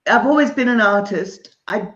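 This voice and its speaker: A woman from Zimbabwe and South Africa with a South African accent, a guest answering interview questions in a relaxed, thoughtful way with many hesitations. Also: medium pitch, normal speed, a crowd in the background. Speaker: artist and author, interview guest. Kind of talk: casual video-call interview. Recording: dull lo-fi video call in a roomy space.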